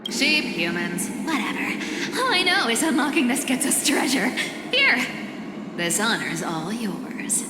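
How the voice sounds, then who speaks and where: A woman playing a sly, teasing young treasure hunter in a video game, theatrical, with hushed asides. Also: a little high, big pitch swings, unhurried, very noisy. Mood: playful. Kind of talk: video game character acting. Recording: echoey, reverberant game audio with music.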